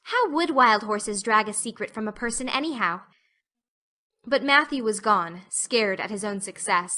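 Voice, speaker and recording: Bright-voiced woman narrating a storybook. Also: medium pitch, expressive, normal speed. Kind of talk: scripted audiobook narration.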